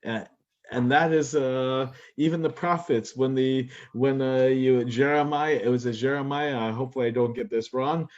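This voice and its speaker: A man's voice: medium pitch, steady tone, moderate pace, darkish tone. Reflective man on dull lo-fi audio. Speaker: rabbi. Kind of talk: conversational discussion.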